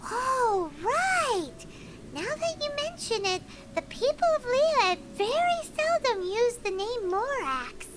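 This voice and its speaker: A woman voicing a tiny, childlike fairy companion in a video game, cheeky, excitable and squeaky. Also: takes it slowly, noisy background, full character voice. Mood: realizing. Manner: squeaky, exclamatory.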